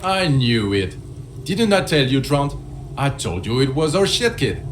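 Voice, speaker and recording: A man's voice: mid-range voice, very animated, unhurried, a little hiss or hum. A man playing a bitter, exhausted police partner in a video game, blunt and heavily sarcastic. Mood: accusing. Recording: music behind the voice.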